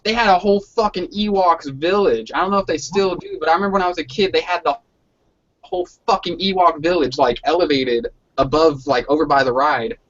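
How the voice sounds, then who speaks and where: A man's voice: a little high, fairly quick, fairly bright tone. Guy just chatting, swears a lot, slangy, dull lo-fi hangout audio. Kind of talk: casual chat. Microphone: online video hangout. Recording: dull lo-fi online call.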